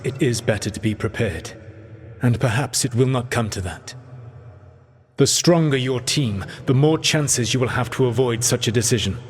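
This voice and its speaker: An actor playing an ancient elven god in a video game, a grave, calculating mentor and rebel leader who speaks in measured, formal, solemn phrases. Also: male voice, medium pitch, husky, long reverb tail, natural voice. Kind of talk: video game dramatic voice acting.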